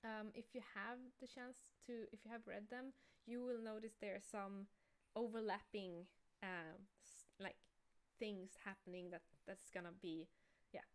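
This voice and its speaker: A woman's voice: mid-range voice, fairly even intonation, moderate pace, clear, resonant tone. A woman with a Swedish accent, chatting casually as a game creator hosting a livestream. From Sweden.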